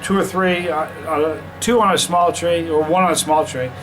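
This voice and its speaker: A man's voice: on the high side, steady tone, moderate pace, over music, rough recording. A man from Vermont reviewing a hot sauce in a casual vlog, chatty and rambling, with many restarts and fillers. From Vermont, USA.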